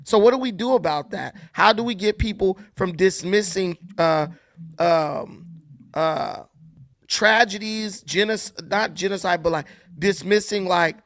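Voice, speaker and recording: Male host, american accent, high voice, dry close sound, animated and emphatic. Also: dry recording. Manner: animated, quick asides, emphatic rhetorical questions. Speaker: show host. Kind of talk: casual political call-in chat.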